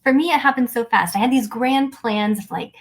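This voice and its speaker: A woman's voice: fairly high pitch, fairly quick. A woman hosting a casual podcast conversation, agreeable and appreciative in the way she talks. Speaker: podcast host.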